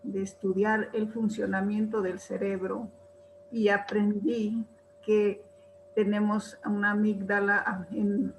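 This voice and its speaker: Woman teacher, slow deliberate pace, mid pitch; faint background hiss. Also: poor audio. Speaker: teacher attending webinar. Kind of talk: webinar comment. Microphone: video call.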